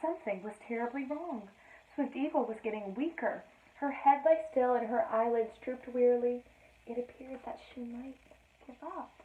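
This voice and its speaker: A woman reading a children's story aloud in an expressive teacherly style, with playful asides to her listeners. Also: fairly high pitch, moderate pace, a little hiss or hum. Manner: addresses listeners with playful questions, dramatic emphasis. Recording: roomy, echoey, narrowband sound.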